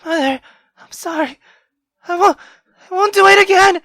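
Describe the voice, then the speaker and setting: A woman's voice: very high voice, normal speed, fairly bright tone, a little hiss or hum, pitched above the performer's own voice. A woman voicing a frightened, meek young girl in a visual novel, stammering and pleading. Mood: terrified. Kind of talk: dramatic visual novel reading.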